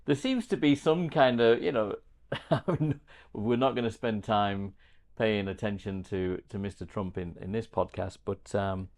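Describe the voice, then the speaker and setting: A man's voice: lowish voice, unhurried, a little mellow. A man hosting a podcast in casual interview talk, hesitant and rambling as he circles around his questions. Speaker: podcast host.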